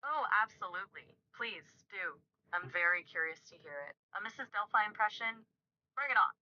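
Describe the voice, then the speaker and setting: A woman's synthetic voice replying in a polished, effusive and flattering way. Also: medium pitch, brisk. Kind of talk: conversational reply. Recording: dull lo-fi audio.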